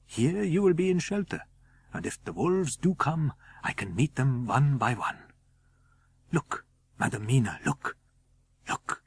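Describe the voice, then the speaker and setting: A man voicing an urgent, excited professor who hunts vampires, exclaiming and commanding in a radio story reading. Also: medium pitch, measured pace, creaky, long pauses, husky, exaggerated voice. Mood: urgent, protective.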